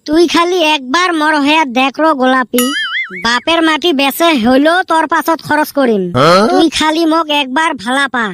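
Woman as high-pitched lovesick cartoon village boy. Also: moderate pace, fairly bright tone, faint music behind. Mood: lovesick pleading. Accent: northern Bengal Rangpuri. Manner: pleading, whiny, bickering. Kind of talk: comic cartoon dialogue.

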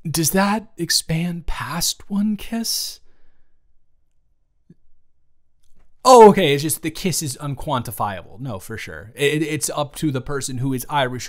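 A man's voice: medium pitch, natural voice. A man improvising a romantic audio roleplay as an awkward, teasing young man talking to his best friend, with a hesitant, stammering delivery. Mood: shy, eager. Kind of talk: improvised romantic audio roleplay, intimate one-sided conversation.

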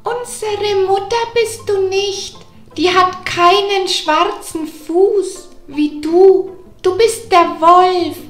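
A woman voicing a young storybook goat kid, loud, bold and defiant. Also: very high voice, fairly even intonation, extremely slow, reverberant, faint music behind, voice pushed up, heavily acted. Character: defiant little goat kids refusing the wolf.

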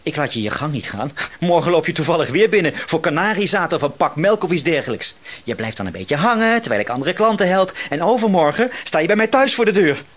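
An actor playing a paranoid, quietly menacing male shopkeeper in a Dutch radio drama, hesitant yet insistent. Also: on the high side, sing-song. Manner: hesitant, insistent, suspicious, drawn-out words.

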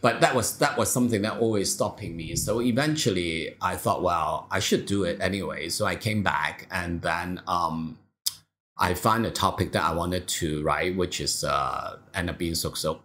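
A man's voice: low voice, normal speed, a bit bright. A middle-aged man from Hong Kong whose first language is Cantonese, a film director talking casually in an interview, thoughtful with many fillers and restarts.

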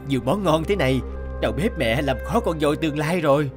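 A man playing an earnest, gentle young working man and devoted son in a cartoon. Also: a little high, animated, fast talker, lightly acted. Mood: cheerful. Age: young adult. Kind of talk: Vietnamese cartoon dialogue. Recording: loud music under the voice.

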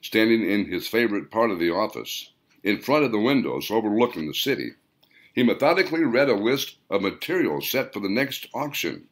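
A man reading a story aloud as dramatic, theatrical audiobook narration, with deliberate delivery. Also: lowish voice, sing-song.

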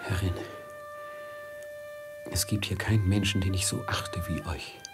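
Ein Schauspieler spielt in einem Hörspiel der 1950er einen versklavten, höflichen und leidenschaftlichen Edelmann mit belegter Stimme. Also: male voice, deep voice, steady tone, unhurried. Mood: deferential, hesitant. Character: noble merchant enslaved, deferential yet passionate schemer. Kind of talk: 1950s German radio play acting. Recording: 1950s mono radio drama with music.

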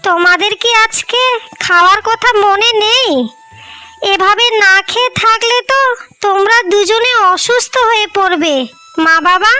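A woman voicing a dutiful young elder daughter in a cartoon, earnest and gently chiding. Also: very high-pitched, heavily acted. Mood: fond scolding. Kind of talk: cartoon dialogue.

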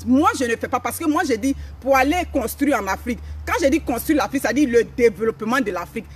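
A woman's voice: fairly high pitch, expressive, fast, breathy edge. A middle-aged woman from Africa, hosting a motivational live stream outdoors in a forest, speaking emphatically and repeating her phrases. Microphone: phone camera at a few steps.

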